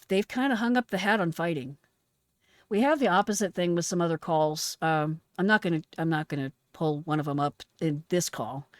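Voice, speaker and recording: Lowish voiced woman, retired dispatcher doing call breakdown, frank n instructive, dry sound. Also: no echo. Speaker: host, retired emergency dispatcher. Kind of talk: reaction commentary analysing an emergency call.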